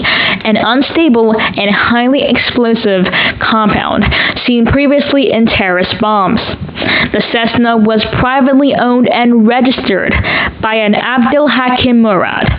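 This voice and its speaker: A serious news anchor played by a woman with a fairly high voice delivers scripted breaking news. The delivery is formal and measured, and the sound is slightly dull, as in a broadcast audio drama. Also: close to an everyday voice. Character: serious female television news anchor covering attacks.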